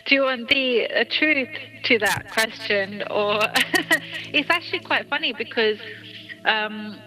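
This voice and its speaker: A woman's voice: on the high side, takes it slowly, rough recording. A woman from the UK, a singer, talking casually about her music in an interview, with many restarts and frequent laughs. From United Kingdom. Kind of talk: phone interview, casual chat.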